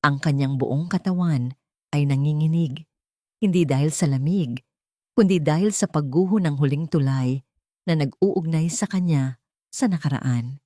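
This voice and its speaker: A woman's voice: sits low, a little slow, warm tone, dry recording, clean studio sound. A woman with a Filipino accent giving a dramatic scripted narration of a story. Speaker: narrator of audio drama stories.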